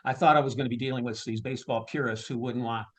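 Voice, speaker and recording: Male, mid-pitched, ballpark announcer type in an informal interview, conversational and unscripted. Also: steady tone, fast. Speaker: stadium public address announcer and marketer. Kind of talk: casual interview answers.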